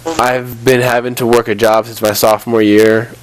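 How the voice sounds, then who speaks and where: A man's voice: mid-range voice, little pitch movement, moderate pace, dry recording, low-quality recording. A nineteen-year-old man telling stories casually while gaming, exasperated and acting out remembered exchanges. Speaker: gaming video host. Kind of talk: casual storytelling while playing a video game.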